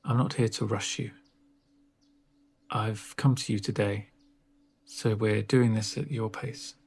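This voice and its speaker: A man speaking softly in an ASMR roleplay as a doctor, with a gentle, reassuring, unhurried manner and a breathy voice. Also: on the low side, fairly even intonation. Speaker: ASMR performer playing a doctor. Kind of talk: soft-spoken ASMR roleplay.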